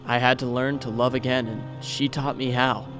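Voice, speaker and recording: An actor playing a tender, grieving young male superhero in a comic dub, speaking in an earnest, halting, reflective way. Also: mid-range voice, little pitch movement, natural voice. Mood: wistful, grieving. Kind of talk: comic dub, dramatic monologue. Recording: music under the voice. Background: music.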